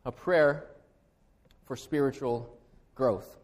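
A middle-aged man from Hawaii with a Hawaiian accent, preaching a sermon as pastor in an earnest, measured way. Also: medium pitch, fairly even intonation. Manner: earnest, measured preaching with a slow, deliberate prayer.

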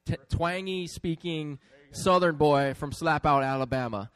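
A man from Hawaii speaking informally and haltingly as a pastor introducing a guest preacher. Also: a little high, a bit bright, clear, resonant tone, no echo. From Hawaii, United States.

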